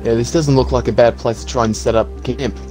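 A man's voice: mid-range voice, steady tone, brisk, a little mellow, faint music behind, natural voice. Man playing a practical, hopeful apocalypse survivor. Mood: practical, planning. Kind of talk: improvised game roleplay skit.

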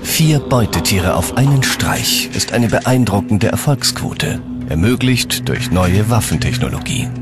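Low-voiced male documentary narrator over music. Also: expressive, measured pace.

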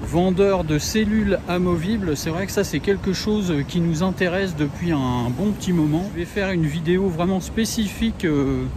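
A middle-aged man with a fairly high voice talks casually to his camera as he vlogs. His manner is informal and a little wry. The recording is outdoors, with clear street and vehicle noise around him. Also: moderate pace, few pauses. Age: middle-aged, about 50s. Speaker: vlogger. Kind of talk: casual vlog chat to camera. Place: outdoors in a busy mountain village car park and show ground. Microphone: handheld camera at arm's length.

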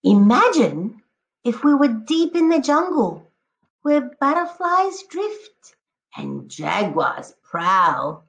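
A woman teacher reading a picture book aloud to children, expressively, addressing them directly and encouragingly with drawn-out emphatic words. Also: fairly high pitch, very animated, creaky. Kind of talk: expressive children's read-aloud with prompting questions.

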